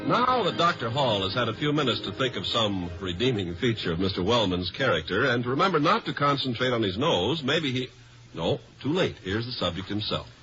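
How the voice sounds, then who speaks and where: Old timey radio announcer guy, lively delivery, bit of music behind, muffled recording. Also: mid-range voice, brisk. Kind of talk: 1950s scripted radio announcing. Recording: dull vintage radio recording. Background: faint music.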